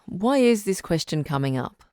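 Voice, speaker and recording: A woman playing a composed, frank relationship doctor who hosts a radio call-in drama in a measured, counselling way. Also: on the low side, big pitch swings, a little mellow, clean tone, dry recording, clean studio sound. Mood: welcoming, attentive. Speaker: radio advice show host.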